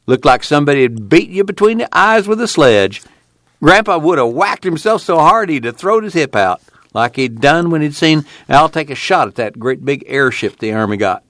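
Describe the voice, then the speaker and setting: A man voicing a gleeful, folksy farm father from the in an audiobook, excitable and tumbling over his words. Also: a little high, measured pace, no room sound, voice pushed up, full character voice. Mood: gleeful, teasing. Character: gleeful rustic farm father, chuckling storyteller. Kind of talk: audiobook character acting.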